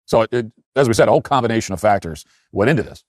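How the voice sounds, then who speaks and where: A man hosting an opinion commentary show, speaking in an emphatic, critical way with deliberate phrasing and asides. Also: on the low side, expressive, dry recording, clean studio sound.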